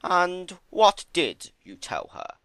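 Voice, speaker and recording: A man's voice: high-pitched, sing-song, slow, fairly bright tone, clean tone, no room sound, clean studio sound, voice pushed up, heavily acted. A man voicing a sly, sardonic male chaos creature in a silky, sneering, theatrical manner for a story reading. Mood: too casual, sneering.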